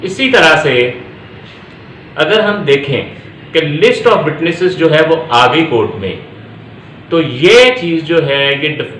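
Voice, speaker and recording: Slow-paced Pakistani man lecturing, echoey room. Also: a little high, lively intonation, fairly bright tone. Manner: repetitive, step-by-step explaining, mixing in English legal terms. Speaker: law lecturer. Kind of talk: explanatory law lecture. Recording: echoey room with faint background noise.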